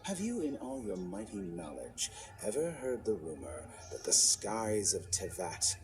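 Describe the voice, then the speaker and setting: An actor voicing an arrogant, scheming male scholar villain in a game cutscene, smooth and mocking, sometimes breaking into shouts. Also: lowish voice, wildly swooping pitch, unhurried. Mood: smug, calculating. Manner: smooth, mocking, theatrical, with sudden shouting outbursts. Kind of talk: video game cutscene voice acting. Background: game score music.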